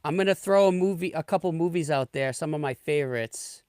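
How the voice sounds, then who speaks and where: A man's voice: on the high side, fairly quick, darkish tone, clean tone, no room sound. A man in his thirties hosting a podcast, chatting casually and enthusiastically, often trailing off mid-sentence. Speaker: podcast host. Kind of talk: casual chat.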